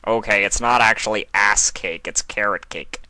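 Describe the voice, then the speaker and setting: A man's voice: on the low side, moderate pace, sharp tone, no room sound, rough recording. A man voicing a gleeful, mischievous chaos spirit in a storybook fan fiction reading, theatrical and mocking. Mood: flippant.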